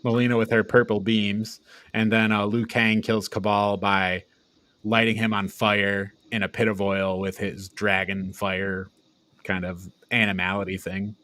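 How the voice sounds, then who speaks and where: A man's voice: on the low side, flat delivery, a little slow, a bit bright, dry recording. A man co-hosting a casual, informal review discussion, recapping things in an offhand way. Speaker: podcast co-host reviewing films.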